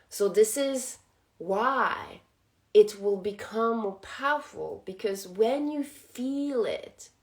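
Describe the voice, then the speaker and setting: A woman whose first language is French, hosting a spiritual channel and talking casually, reflective and laughing often. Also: on the high side.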